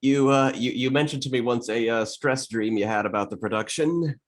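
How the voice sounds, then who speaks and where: A man with a medium-pitched voice talks informally among a group. He is halting, circling back and repeating words before landing his sentence. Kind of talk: casual group chat.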